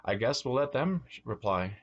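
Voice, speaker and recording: A man's voice: medium pitch, expressive, normal speed, muffled recording, close to an everyday voice. Man reading as an earnest mother bulldog. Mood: troubled. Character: mother bulldog, blunt, awkward, female.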